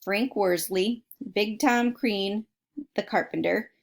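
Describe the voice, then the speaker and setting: A woman with a medium-pitched voice reads a true adventure story aloud for children. She sounds like a teacher, stressing the dramatic words and addressing her listeners directly. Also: takes it slowly, vocal fry. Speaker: teacher reading a book aloud to students. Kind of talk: expressive read-aloud of a children's nonfiction story with asides. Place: at home.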